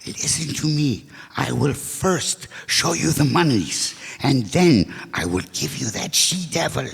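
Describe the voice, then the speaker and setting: A man playing a scheming, excitable foreign criminal mastermind in a radio detective play, speaking stilted, formal English. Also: medium pitch, measured pace, vocal fry, breathy edge, full character voice. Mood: menacing, commanding. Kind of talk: old-time radio detective play acting. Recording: echoey hall stage recording.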